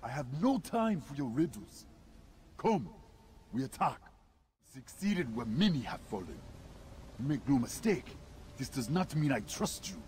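A man's voice: mid-range voice, big pitch swings, unhurried, pauses often, a little mellow, a little hiss or hum. An actor playing a proud, hostile male alien warrior who scorns humans, speaking in a stern, commanding game voice. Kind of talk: video game voice acting.

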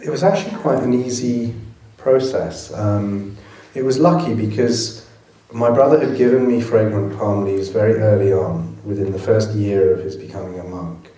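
A man with a low, dark voice answers audience questions as a film director. He talks thoughtfully and often restarts his sentences. The sound is distant and echoey, like a hall heard from far off. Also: normal speed, hardly any pauses. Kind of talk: post-screening Q&A answers. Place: cinema auditorium.

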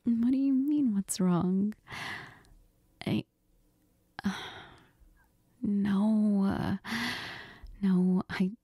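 A woman acting as a clingy, affectionate girlfriend in an intimate role-play audio. She murmurs very slowly and hesitantly in a mellow, breathy voice, close and tender. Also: medium pitch, dry recording, full character voice. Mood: coy, needy. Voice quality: breathy, whispery. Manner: hesitant, giggly, tender, murmuring with Spanish endearments. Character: needy, cuddly, affectionate girlfriend who missed her partner.

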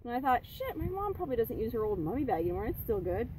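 A woman's voice: a little high, sing-song, fast talker, warm tone. A woman in her thirties chatting bluntly and casually about camping gear, swearing freely, at an outdoor campsite. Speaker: vlogger. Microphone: camera a few feet away. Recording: distant mic with outdoor noise.

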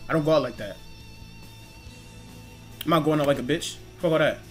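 A young man giving casual gameplay commentary with friends, excitable and foul-mouthed, slangy and often repeating himself. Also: a little high, brisk, faint music behind. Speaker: gaming YouTuber.

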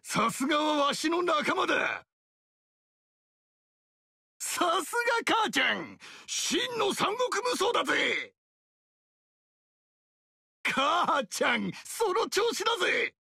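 An actor playing a boisterous, burly barbarian king who shouts rough battle cries and boasts loudly in a video game. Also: extremely high pitch, big pitch swings, slow, pauses often, dry recording, clean studio sound, exaggerated voice. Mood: hearty praise.

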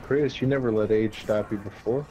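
A man's voice: lowish voice, steady tone, normal speed, mellow, dull audio. A man in casual gaming chat, slangy and teasing, swearing freely. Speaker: gamer in a squad voice chat. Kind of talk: casual game chat and banter. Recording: noisy game voice chat.

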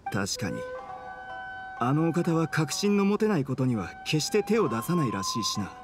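An actor playing an uneasy, brooding household retainer in an anime game. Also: male voice, on the high side, sing-song, normal speed. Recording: music behind the voice.

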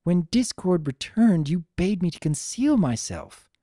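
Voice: mid-range voice, sing-song, unhurried, warm tone, no echo, clean studio sound, pitched above the performer's own voice. A narrator voicing a formal, earnest female pony princess in a dramatic story reading, with archaic, stately diction. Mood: alarmed, earnest.

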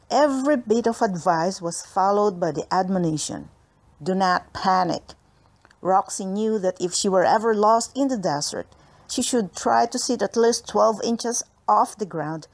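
Female storyteller, mid-pitched, expressive children's book narration. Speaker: audiobook reader.

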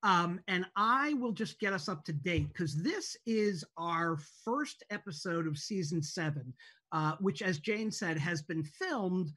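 Woman, lowish voice, hesitant and informal, introducing a show over video call. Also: animated, a bit bright, husky. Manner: hesitant, halting. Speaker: TV show creator introducing a table read. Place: indoors at home on a video call. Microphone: video call microphone.

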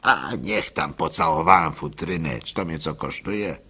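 A male actor playing a gullible, simple-hearted village fool telling his story in a theatrical, halting radio monologue, with a husky, gravelly voice. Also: extremely low pitch, sharp tone, full character voice. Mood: rueful recounting. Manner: theatrical, halting, with stammered repeats, sighs and chuckles. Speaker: actor narrating a radio play. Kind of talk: dramatic first-person monologue in a radio play.

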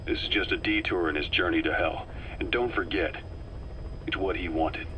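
A man acting a calm, calculating middle-aged intelligence operative in a video game, speaking in a measured, deliberate way. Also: low-pitched, slight background noise, low-quality recording. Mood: solemn. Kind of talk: dramatic game dialogue, briefing.

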